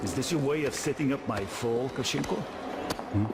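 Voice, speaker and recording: An actor playing a pompous, indignant Soviet general, affronted at being arrested, in a video game. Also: male voice, mid-range voice, moderate pace, very noisy, lightly acted. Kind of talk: dramatic video game acting.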